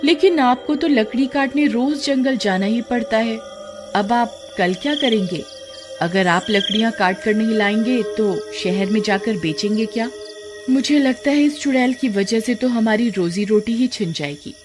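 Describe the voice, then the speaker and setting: A woman's voice: fairly high pitch, moderate pace, close to an everyday voice. A woman playing a fretful, questioning village wife in a cartoon story. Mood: anxious. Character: worried, scolding village wife of a woodcutter. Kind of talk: Hindi cartoon story dialogue. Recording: music behind the voice.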